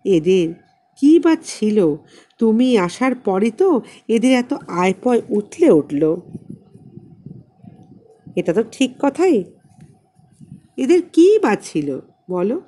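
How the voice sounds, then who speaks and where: A woman plays a gossipy neighbour in a storybook reading, laying on flattery with emphatic, fawning phrases. The delivery is slow and mellow. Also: a little high.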